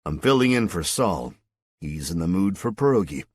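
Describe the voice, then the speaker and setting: A man voicing a wisecracking, wary ordinary man in a husky voice. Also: deep voice, sing-song, moderate pace, no room sound, clean studio sound. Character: wry, wary everyday man.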